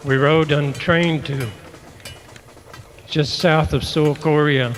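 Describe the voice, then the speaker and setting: A man in his eighties with a rural American accent, recalling his past in a halting, grave way as a veteran. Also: medium pitch. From rural United States. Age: 80s. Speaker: war veteran. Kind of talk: personal reminiscence. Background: music.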